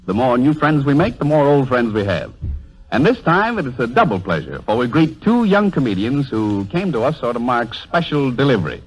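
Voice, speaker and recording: Male vintage radio announcer, very animated pitch, rough-edged tone, formal showman delivery. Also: moderate pace, faint music behind, rough recording. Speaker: radio show host and announcer. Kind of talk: 1930s radio introduction. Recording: vintage 1930s radio broadcast recording.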